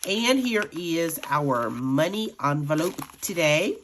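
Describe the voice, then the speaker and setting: A middle-aged woman vlogging about her budget in a chatty, friendly way, a little raspy from allergies. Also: sits low, very animated, slow pace, fairly bright tone, slight background noise. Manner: chatty, addresses viewers as friends. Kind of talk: casual chat while counting cash.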